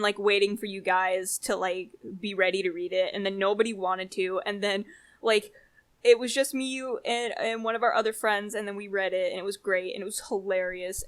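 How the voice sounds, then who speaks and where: A woman's voice: mid-range voice, a bit bright, dry recording. A young adult woman chatting casually as a podcast co-host, telling stories in an amused, slangy, informal way. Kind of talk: casual chat.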